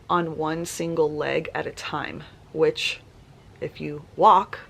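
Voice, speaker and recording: A woman's voice: lowish voice, gravelly, a little hiss or hum. A woman, chatting casually in a vlog and sounding congested and nasal, laughing often. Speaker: vlogger. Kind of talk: casual pregnancy vlog chat.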